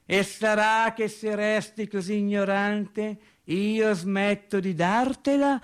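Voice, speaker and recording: A man's voice: high voice, steady tone, slow, clear, resonant tone, pitched above the performer's own voice. A man voicing a bossy, bookish working-class wife who scolds with insistent, challenging questions in a radio theatre monologue. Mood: scornful.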